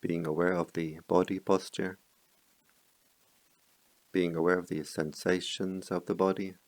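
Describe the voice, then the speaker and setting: A middle-aged man, a meditation teacher, guiding a slow, calm meditation in a softly spoken voice with long pauses. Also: dry recording, low-quality recording. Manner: chants Pali verses in a low murmur. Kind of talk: guided meditation.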